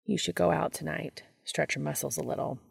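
A woman as Castiel, a calm, low-voiced, gravelly man. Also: a little fast, dry recording, studio quality, exaggerated voice. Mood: gently encouraging. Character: gently encouraging, dry-humored human man, Castiel.

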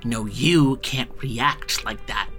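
A man acting in an audio drama as a curt, impatient operative, terse, sardonic and deadpan. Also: mid-range voice, takes it slowly, soft background music.

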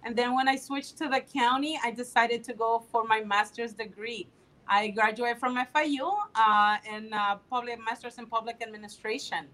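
A woman's voice: a little high, steady tone, moderate pace, bright, forceful tone, low-quality recording. A woman in her fifties from the Dominican Republic, a political candidate answering interview questions in an eager way with frequent fillers. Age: 50s.

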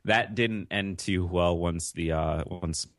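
A man's voice: deep voice, slow, a bit bright, no room sound, studio quality. A young man, born in Jamaica, answering interview questions in an earnest, reflective way with frequent restarts. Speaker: interview guest. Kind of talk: conversational interview.

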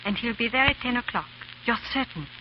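Casting: actress as devoted, anxious noblewoman, refined and dramatic, muffled vintage radio with clear crackle. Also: fairly high pitch, animated, fairly quick, close to an everyday voice. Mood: anxious, resolute. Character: anxious, brave, devoted English noblewoman wife. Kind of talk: 1930s radio drama acting.

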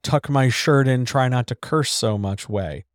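A man's voice: mid-range voice, a little slow, no echo, studio quality. A man hosting a live writing chat, talking casually and loosely with asides and fillers. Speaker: host of a writers' chat.

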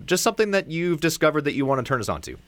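A man's voice: a little high, big pitch swings, racing, no echo. A man co-hosting a podcast, interviewing in an informal, conversational style. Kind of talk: casual interview chat.

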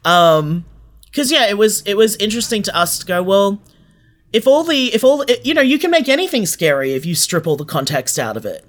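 Australian podcast creator, mid-pitched voice, chatting casually and thinking aloud as an interviewee. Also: very animated, a little fast.

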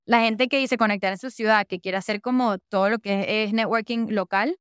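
A woman's voice: mid-range voice, steady tone, a little fast, fairly bright tone, clean tone, no room sound, studio quality. A young woman from Venezuela, a podcast guest chatting casually and enthusiastically about her work, often stacking words like "really" and "super.". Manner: enthusiastic, repeats "de verdad que" and "súper". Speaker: podcast guest, user researcher. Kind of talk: casual interview chat.

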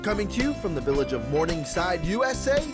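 Animated announcer man over loud music. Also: high-pitched, fast talker. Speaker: show announcer. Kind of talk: upbeat scripted show introduction. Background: loud guitar theme music.

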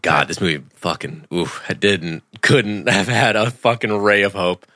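Male podcast co-host, lively swooping delivery, bright forceful tone, jokey profane film chat. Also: sits low, a little slow, no room sound, studio quality. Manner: animated, joking, swears freely. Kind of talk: casual profane banter about a film.

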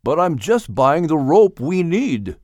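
An earnest, unsuspecting young man in a storybook, read by a male narrator. His speech is lively in pitch and recorded dry and close. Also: slow pace, no room sound. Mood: unbothered, puzzled.

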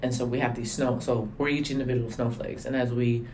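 Man, medium pitch, co-host, informal reflective chat, everyday examples, faint background noise. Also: fairly even intonation, brisk, a little mellow. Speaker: podcast co-host.

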